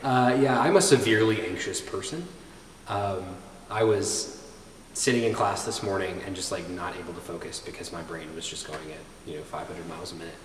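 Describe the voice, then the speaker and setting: A man's voice: low voice, brisk, reverberant, big-room sound, recorded from a distance, a little hiss or hum, poor audio. A twenty-one-year-old man answering interview questions in a hesitant, self-reflective way, with lots of ums.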